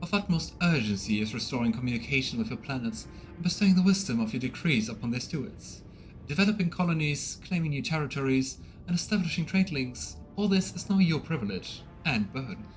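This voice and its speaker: A man voicing a formal, declamatory High Factotum official reporting to a captain in a game. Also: lively intonation, a little fast, faint music behind, lightly acted, rough recording. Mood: formal, deferential. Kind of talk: game dialogue read aloud in character.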